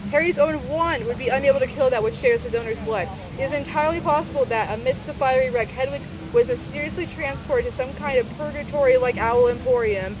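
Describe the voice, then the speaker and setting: A woman's voice: high-pitched, background noise. A woman giving a dramatic, emphatic reading of a scripted essay in a mock-serious tone. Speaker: reader of a fan zine.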